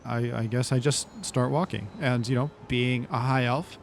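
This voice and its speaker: A man with an average-pitched voice plays a fantasy tabletop game. He reasons aloud in character, casual and halting, with clear noise in the recording. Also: steady tone. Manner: hesitant, thinking aloud in first person as his character.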